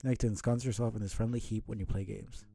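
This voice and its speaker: A man streaming a casual read-aloud of a comic, with halting, muttered asides. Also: fairly low pitch, fairly even intonation, creaky, warm tone, breathy edge, no echo. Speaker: streamer reading a webcomic aloud.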